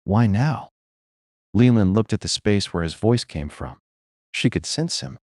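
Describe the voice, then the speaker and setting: A man's voice: on the low side, expressive, moderate pace, a little mellow, no room sound, studio quality. A man reading scripted first-person noir narration as the story's narrator.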